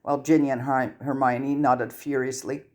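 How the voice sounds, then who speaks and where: A storyteller reading fiction aloud in a mid-range voice. The delivery is scripted and expressive, in the manner of an audiobook narrator. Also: mid-range voice, normal speed. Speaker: fan fiction audiobook reader.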